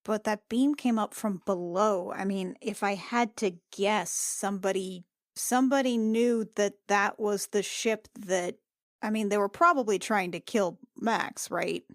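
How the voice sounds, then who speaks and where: A woman's voice: medium pitch, a little slow, no room sound, natural voice. Woman playing Jill, a questioning, blunt adventurer, dry sound. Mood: worried, reasoning.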